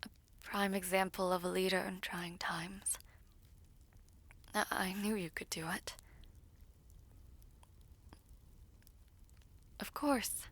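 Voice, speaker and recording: A woman playing a guilt-ridden, weary young villainess sorceress in an intimate audio roleplay, speaking haltingly with breathy, half-whispered softness. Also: mid-range voice. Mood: gentle, earnest.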